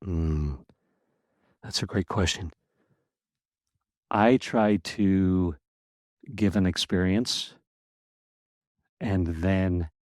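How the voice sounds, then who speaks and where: A man's voice: low voice, dry recording, clean studio sound. Outgoing man with a powerful, projecting voice. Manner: animated, laughs often, outgoing. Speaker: podcast guest. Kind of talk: casual interview conversation.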